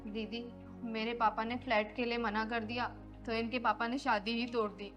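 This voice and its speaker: A woman's voice: a little high, little pitch movement, moderate pace, a bit bright, faint music behind, close to an everyday voice. A young woman playing an earnest, pleading runaway bride in a Hindi moral drama.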